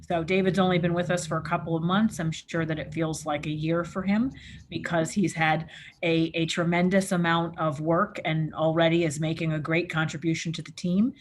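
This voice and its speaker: A woman introducing a colleague at an online company info session, measured and slightly halting. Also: low-pitched, level tone, a little hiss or hum. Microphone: video call.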